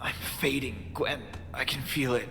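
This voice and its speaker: A man's voice: medium pitch, fairly even intonation, measured pace, vocal fry. A young man voicing a battered, stubborn, wisecracking superhero in a video game. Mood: weak, resigned. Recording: long reverb, loud music behind. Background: loud score music.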